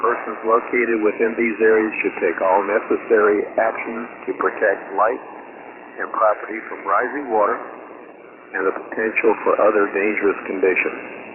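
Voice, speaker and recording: A man's voice: fairly low pitch, steady tone. Crackly thin ham radio audio w lots of static, guy reading a storm bulletin, stumbles a bit and corrects himself. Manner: halting, stumbles and self-corrects on place names. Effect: shortwave ham radio transmission. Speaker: amateur radio operator relaying storm advisories. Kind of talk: reading an official weather bulletin. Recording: tinny, very noisy shortwave radio signal. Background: loud radio static and noise.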